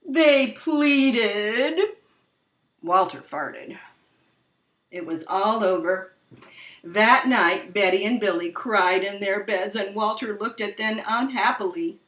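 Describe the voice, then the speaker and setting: A woman reading a children's story aloud expressively, with dramatic, drawn-out emphasis. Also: medium pitch, fairly bright tone. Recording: roomy echo, distant microphone.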